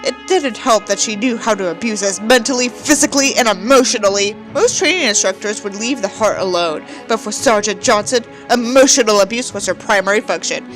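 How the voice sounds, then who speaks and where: A woman's voice: mid-range voice, animated, fairly quick, few pauses, a bit bright, faint music behind, rough recording. A woman giving a dramatic reading with theatrical, mocking delivery and sardonic asides. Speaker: host reading a book aloud with mocking commentary.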